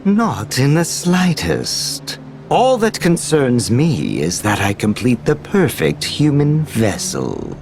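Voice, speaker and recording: An actor playing a sly, detached scientist villain in a video game, languid and sardonic. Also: male voice, mid-range voice, huge pitch swings, slow, vocal fry, a little mellow, a little hiss or hum, heavily acted. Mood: sly, mocking. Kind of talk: video game cutscene acting.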